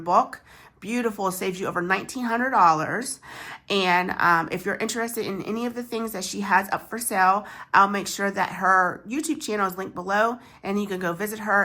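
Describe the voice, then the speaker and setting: A woman's voice: medium pitch, bright, forceful tone. Stuffy-voiced chatty American woman. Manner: chatty, rambling, self-deprecating asides. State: congested and stuffy from a chest cold. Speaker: savings challenge vlogger. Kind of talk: casual chat to camera while cash stuffing.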